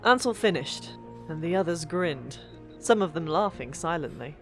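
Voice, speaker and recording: A woman narrating a fantasy audiobook in an expressive, deliberate storytelling manner. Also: sits low. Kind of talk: dramatic scripted fiction narration. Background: background music.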